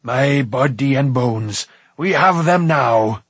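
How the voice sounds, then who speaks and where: A man voicing a huge, hearty, blustering warrior king, husky and bellowing, in a storybook reading. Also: medium pitch, animated, fairly bright tone, dry recording, full character voice. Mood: boisterous. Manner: blustering, drawn-out delivery.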